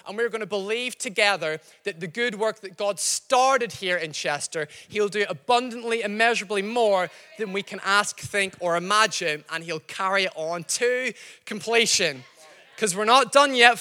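High-voiced Northern Irish pastor preaching. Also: male voice, long reverb tail. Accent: Northern Irish, slightly Scottish-tinged. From Northern Ireland. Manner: lively storytelling, addresses the congregation directly. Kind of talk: sermon.

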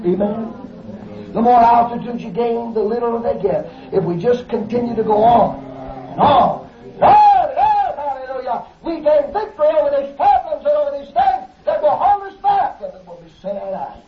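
A man preaching a fervent Pentecostal sermon, building to shouts and calling out hallelujahs. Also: very high voice, animated, normal speed, background noise. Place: church service. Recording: echoey dull lo-fi recording from a distance.

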